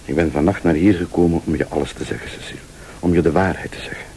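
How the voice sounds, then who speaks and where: An actor playing a mysterious, composed late-night visitor in a radio thriller, speaking formally and pointedly with quiet menace. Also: male voice, sits low, fast talker, slight background noise. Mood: grave, confessional. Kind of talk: Flemish radio thriller acting.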